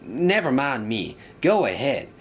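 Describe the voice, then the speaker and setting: A man's voice: mid-range voice, sing-song, measured pace, a little hiss or hum, pitched above the performer's own voice. A man voicing a proud, irritable steam engine in a children's story, grumbling and dismissive. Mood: uneasy. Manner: grumbling, exasperated.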